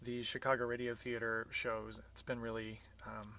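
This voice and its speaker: A man's voice: medium pitch, completely flat delivery, moderate pace, pushed and bright, slight background noise. A middle-aged American man hosting a podcast, introducing and interviewing a guest. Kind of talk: scripted introduction and live conversational interview.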